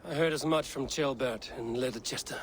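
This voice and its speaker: A man's voice: mid-range voice, a little fast, slight background noise, lightly acted. A man playing a stern, terse warrior. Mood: measured. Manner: terse, commanding. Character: stern, level-headed Viking raider, a seasoned warrior man. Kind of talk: dramatic video game acting.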